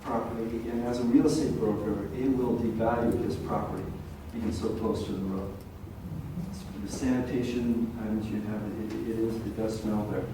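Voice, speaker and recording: An older man who is a longtime local resident, speaking softly and haltingly at a community meeting. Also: fairly low pitch, little pitch movement. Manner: soft-spoken, rambling with pauses. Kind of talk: public comment at a community meeting. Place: large echoey meeting room. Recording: echoey room, distant mic, faint hiss.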